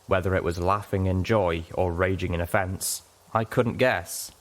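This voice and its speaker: A man's voice: low-pitched, fairly even intonation, normal speed. A man reading a scripted first-person story as an audiobook narrator.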